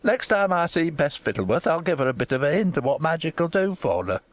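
A woman's voice: low-pitched, big pitch swings, no room sound, voice pushed down. A woman reading an audiobook, voicing a testy old rustic gardener. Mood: wry. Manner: gruff, slow, testy.

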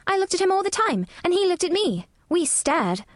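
A woman's voice: very high voice, big pitch swings, moderate pace, no echo, clean studio sound, pitched above the performer's own voice. A woman voicing an earnest, self-assured little girl in an audiobook. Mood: insistent. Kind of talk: audiobook character voice.

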